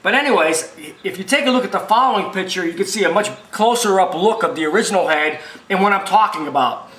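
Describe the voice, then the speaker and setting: Guy with a high voice doing a repair how-to, folksy and rambly, repeats stuff, echoey room. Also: fast talker, a bit bright. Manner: folksy, rambling, repetitive, emphatic explainer. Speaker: video machine repair technician and presenter. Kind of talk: informal spoken repair tutorial. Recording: roomy, echoing sound.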